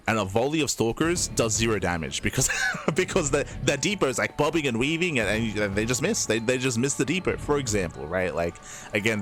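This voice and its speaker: A man from Australia with a mild Australian accent giving fast, chatty live commentary on a strategy game, with lots of fillers. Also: on the low side, fairly bright tone. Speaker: esports caster. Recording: music playing behind.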